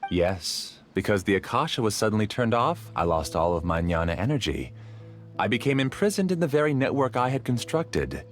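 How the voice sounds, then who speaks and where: An actor playing a grieving scholar villain in a video game, measured and persuasive, calmly justifying himself. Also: male voice, on the low side, faint music behind. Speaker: researcher.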